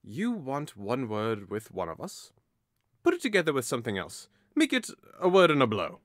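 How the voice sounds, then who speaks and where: A man's voice: medium pitch, wildly swooping pitch, moderate pace, a bit bright, dry recording, clean studio sound. A man playing a hot-headed, mocking young nobleman in an audio drama, with a theatrical, taunting delivery. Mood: scornful, provoking.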